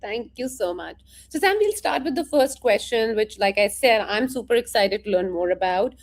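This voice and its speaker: A woman's voice: fairly high pitch, lively intonation, normal speed. A woman hosting a live interview stream, introducing her guest enthusiastically over a video call.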